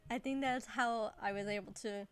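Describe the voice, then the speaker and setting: A woman game streamer chatting casually with viewers in a giggly, informal way. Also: fairly high pitch. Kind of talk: casual livestream chat. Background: game music.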